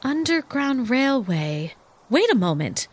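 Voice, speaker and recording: A sensible, cautious heroine in old radio-serial fashion, speaking with crisp earnestness. Her voice is high and swings widely. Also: sing-song, slow, full character voice. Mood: earnest. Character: brisk capable female heroine, sensible and cautious. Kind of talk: 1930s-style radio serial acting.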